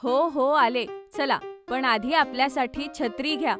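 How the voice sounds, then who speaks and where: A woman's voice: sits high, measured pace, over music. A woman playing a patient, practical mother who instructs her children in a cartoon. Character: caring, practical mother of two young children.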